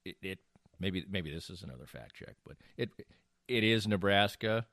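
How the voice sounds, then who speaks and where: Deep-voiced older man, rambling storyteller. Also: animated, moderate pace, vocal fry, fairly bright tone, no room sound, clean studio sound. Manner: rambling storyteller, restarts sentences mid-thought. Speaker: podcast host. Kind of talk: casual banter and anecdotes.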